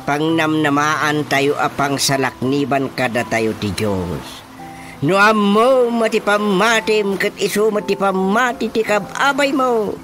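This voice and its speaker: An actor voices a talkative Ilocano villager in a radio drama, high-voiced and chuckling as he muses on the afterlife. Soft music plays beneath him. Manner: chuckling, musing on death and faith. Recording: music under the voice.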